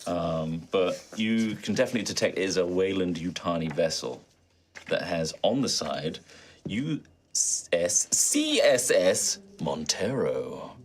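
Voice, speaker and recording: A man's voice: low-pitched, expressive, unhurried, a little hiss or hum. A man narrating a tabletop roleplaying game as game master, conversational with theatrical emphasis when he describes scenes. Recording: background music under the voice.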